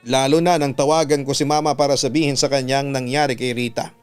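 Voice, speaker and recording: Clear, smooth male radio storyteller. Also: fairly high pitch, darkish tone. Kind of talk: dramatic first-person story narration. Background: background music.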